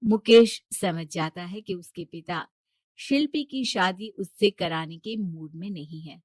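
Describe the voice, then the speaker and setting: A woman's voice: on the low side, unhurried, a little mellow, no echo. A woman reading scripted narration for a moral cartoon story in a storyteller's style.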